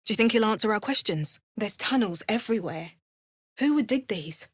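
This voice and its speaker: An actress playing a watchful, wry and resolute female fantasy adventurer in a video game, with a measured, theatrical delivery. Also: medium pitch, expressive, husky, dry recording, clean studio sound. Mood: observant, wry. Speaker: voice actor for a player character.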